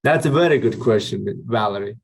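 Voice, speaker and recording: A man's voice: lowish voice, expressive, fairly quick, gravelly. A man from Azerbaijan with an Azerbaijani accent, speaking as a podcast guest in a polite, formal way with shy laughs. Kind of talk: casual interview chat.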